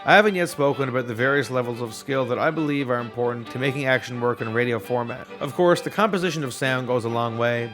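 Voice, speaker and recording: A man narrates a scripted video essay at a quick pace. His voice is a bit low, and music plays clearly behind him. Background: background music.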